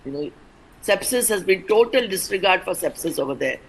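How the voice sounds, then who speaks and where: Measured woman doctor explaining. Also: lowish voice, animated, breathy edge, poor audio. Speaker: doctor, expert guest. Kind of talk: TV interview answers.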